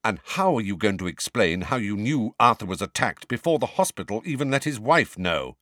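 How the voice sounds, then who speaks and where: A man's voice: lowish voice, sing-song, fairly quick, a bit bright, no room sound, clean studio sound. A man doing an impatient grown man. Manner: clipped, impatient. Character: grown man, tense, impatient, sometimes angry.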